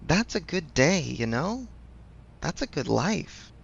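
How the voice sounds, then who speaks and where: A man's voice: on the high side, big pitch swings, slow pace, gravelly, husky, muffled recording, heavily acted. A man playing a supportive best friend in a casual audio roleplay, speaking frankly with affectionate teasing. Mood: warm, affectionate. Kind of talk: ASMR audio roleplay, conversational.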